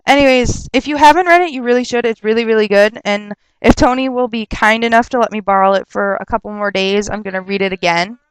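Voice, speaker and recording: A woman's voice: a little high, steady tone, clean tone, dry recording, low-quality recording. Chatty young woman with a stuffy nose. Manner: chatty, rambling, quick to laugh and rant. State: stuffed up with a sore throat. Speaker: vlogger answering viewer questions. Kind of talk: casual rambling webcam vlog.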